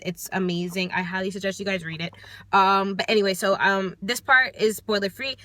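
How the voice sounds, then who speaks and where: A woman's voice: on the low side, bright, forceful tone. A young American woman giving a casual book review from her car, thoughtful and hesitant, with many ums and restarts. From United States. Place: parked car.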